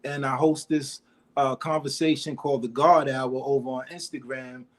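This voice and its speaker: A man's voice: mid-range voice, steady tone, normal speed. A man in his forties, a guest and co-host in a casual live chat, laid-back and playful. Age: 40s.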